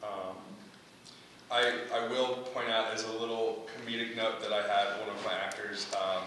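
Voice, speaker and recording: Echoey, bright-voiced man, hesitant. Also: fairly low pitch, level tone, moderate pace. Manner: hesitant, groping for words. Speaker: playwright leading a feedback discussion. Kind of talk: informal question-and-answer discussion. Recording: very echoey room, distant mic, faint noise.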